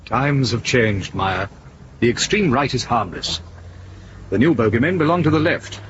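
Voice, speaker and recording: An actor playing an evasive, quietly menacing senior male official, measured and condescending, in a 1970s British radio drama. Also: medium pitch, animated. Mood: veiled threat. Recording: dull, lightly noisy 1970s radio recording.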